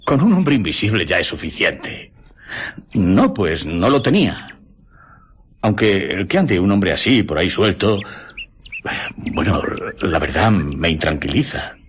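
An excitable, talkative sailor in a Spanish radio play. His voice is very husky and swings dramatically up and down, with a Castilian accent. He speaks emphatically and takes offence easily. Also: low voice, a little slow, heavily acted. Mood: uneasy, gossiping. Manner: emphatic, indignant when crossed.

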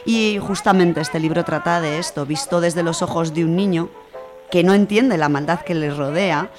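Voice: medium pitch, moderate pace. A person with an accent from Spain giving an informal, colloquial book review on the radio, explaining things in a conversational way. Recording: music under the voice. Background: music.

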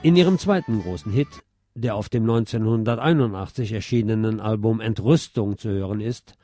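A man reading scripted narration aloud in a measured, literary manner. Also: on the low side, warm tone, soft background music. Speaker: narrator reading an essay on German music history.

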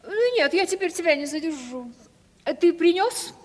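An actress playing an affected, genteel, ageing rich landowner aunt in a Russian radio comedy play. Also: female voice, extremely high pitch, animated, moderate pace. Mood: flustered, brisk. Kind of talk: 1970s Russian radio stage drama. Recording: long hall reverb.

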